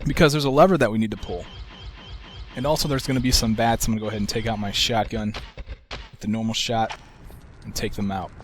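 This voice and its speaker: A man giving casual, relaxed commentary over a video game walkthrough, with a soft, soothing way of talking. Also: on the low side, brisk, a little hiss or hum. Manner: relaxed, soft-spoken, self-described soothing voice.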